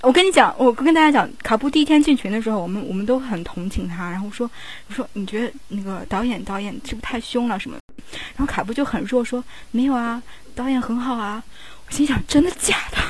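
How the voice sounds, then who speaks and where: A woman's voice: fairly high pitch, fast talker, breathy edge. A woman in casual conversation, talking informally as a crew member on a panel. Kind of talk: casual chat.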